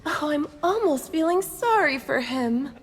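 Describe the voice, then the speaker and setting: A woman's voice: sits high, expressive, a little slow. A woman playing a cruel, mocking noblewoman who taunts in a sneering tone, in a game cutscene. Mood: taunting. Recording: reverberant game audio with music.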